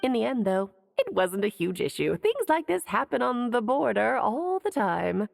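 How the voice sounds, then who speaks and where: A woman's voice: a little high, big pitch swings, normal speed, vocal fry, no echo, exaggerated voice. An actress playing a worldly, playful sorceress mother in a video game, teasing and eloquent in an airy, theatrical way. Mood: dramatic explaining. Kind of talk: video game character acting.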